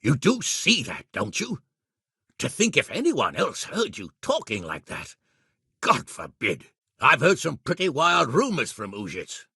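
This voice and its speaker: A man playing a blunt, hot-tempered middle-aged medieval lord in a video game, with a raspy, gravelly voice and an authoritative manner. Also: mid-range voice, wildly swooping pitch, measured pace, pushed and bright, dry recording, clean studio sound, full character voice. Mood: grave, brooding. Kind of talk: video game character acting.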